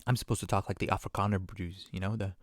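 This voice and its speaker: A man's voice: deep voice, flat delivery, fast talker, no echo, studio quality. A man talking on a podcast in a loose, rambling monologue, self-deprecating and full of conversational asides. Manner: conversational, many fillers and asides. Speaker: podcast speaker. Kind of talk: casual monologue.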